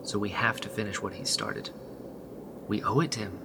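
A man playing an earnest, protective rebel leader in a sci-fi radio drama, speaking reassuringly with breathy pauses. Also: lowish voice, big pitch swings, bright, forceful tone, a little hiss or hum, full character voice. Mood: somber, determined.

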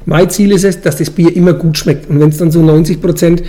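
Male, forties, Franconian dialect, brewmaster interviewee; quick, relaxed-sounding, colloquial explanations. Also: fairly high pitch. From Franconian Switzerland, Bavaria, Germany. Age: 40s. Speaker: brewmaster and brewery owner, interviewee.

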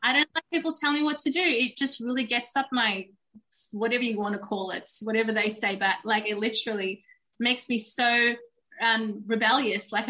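A woman with Turkish as her recounts her own story as a guest. Her voice sits a little high and rings bright and forceful. She talks in an earnest, candid, reflective way. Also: poor audio. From Australia. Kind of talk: candid personal storytelling in a podcast interview.

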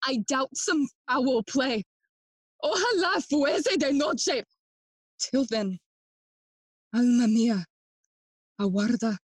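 Brooding, resolute young royal, Shakespearean audio play. Slow, weighty verse, close dry sound. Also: female voice, sits high, husky, no room sound, exaggerated voice. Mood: brooding, suspicious. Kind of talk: bilingual Shakespearean audio drama acting.

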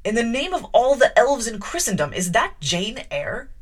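A woman playing a brusque, commanding gentleman. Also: medium pitch, sing-song, brisk. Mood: startled, accusing. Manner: blustering, imperious. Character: gruff commanding gentleman, middle-aged, brusque.

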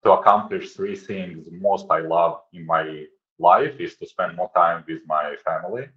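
A middle-aged man with a Ukrainian accent, earnestly answering interview questions with frequent pauses and hesitations. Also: deep voice, lo-fi sound. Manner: earnest, many "uh" pauses, non-native grammar. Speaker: guest, website founder.

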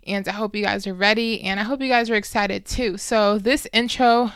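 A woman's voice: medium pitch, steady tone, moderate pace, a bit bright, smooth, no room sound. A woman in her early twenties hosting a solo podcast, chatting informally and casually with slangy phrasing. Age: early 20s. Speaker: podcast host.

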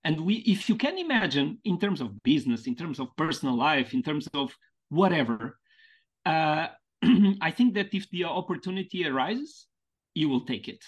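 A man from Portugal with a Portuguese accent, a podcast guest speaking English as a second language in a thoughtful, halting way, stretching out key words. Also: on the high side, sing-song, slightly rough. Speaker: podcast guest, mentoring founder. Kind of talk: reflective conversational interview.

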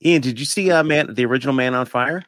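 A man's voice: mid-range voice, fast talker, a bit bright, dry recording, studio quality. A man hosting a podcast in casual chat, putting questions to others in an informal, piecemeal way. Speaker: podcast host.

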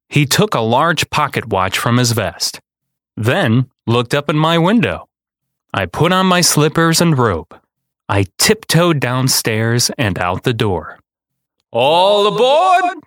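Animated man reading a storybook. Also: medium pitch, a little slow, no echo, clean studio sound. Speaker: podcast host reading a children's book aloud. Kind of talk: expressive storybook narration.

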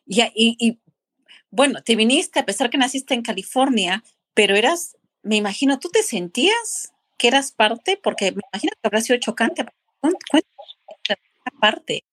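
A woman's voice: fairly high pitch, animated, moderate pace, breathy edge. A woman hosting a podcast, asking questions in a chatty way with frequent restarts. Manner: warm-up questions with many restarts and fillers. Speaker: podcast host. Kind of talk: casual interview.

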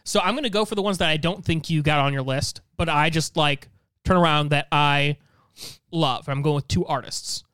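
A man with a high, crisp voice co-hosts a casual panel show. He thinks out loud in halting runs and circles back over his phrases. The sound is very dry, with hardly any room on it. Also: dry recording. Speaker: podcast panelist and co-host. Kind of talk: casual chat, making draft picks.

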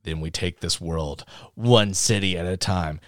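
A man's voice: low-pitched, unhurried, dry recording, studio quality. A man co-hosting a podcast, chatting casually about a movie, sarcastic and jokey, often acting out lines from it. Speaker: podcast co-host. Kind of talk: casual movie review chat.

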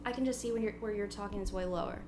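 A woman's voice: medium pitch, rapid-fire. A woman in her twenties hosting a casual podcast from home, bubbly and giggly as she rambles along. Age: 20s. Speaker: podcast host. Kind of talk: casual chat. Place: at home before a party. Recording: noisy with electrical hum.

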